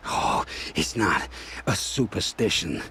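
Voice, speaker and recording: A man's voice: low voice, big pitch swings, slow pace, pushed and bright, slight background noise, exaggerated voice. A man playing a frightened, haunted informant in a video game, telling what he knows in an earnest, dramatic and vivid way. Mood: fearful, earnest. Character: frightened male informant haunted by a devilish cult.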